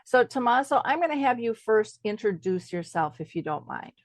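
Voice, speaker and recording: A woman's voice: a little high, sing-song, moderate pace, no room sound, clean studio sound. Upbeat woman hosting a radio show. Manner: upbeat and enthusiastic; friendly with frequent "uh" fillers. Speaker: host.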